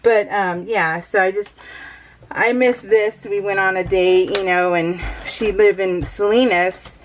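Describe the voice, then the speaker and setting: A woman's voice: mid-range voice, steady tone, measured pace, poor audio. A middle-aged American woman chatting casually over her craft project as a vlogger, rambling and easily sidetracked, with a bubbly manner.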